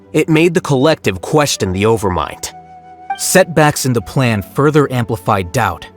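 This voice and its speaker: Man acting aloof young male scholar, calm analytical delivery, mid-range voice, game cutscene with faint music. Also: medium pitch, lightly acted.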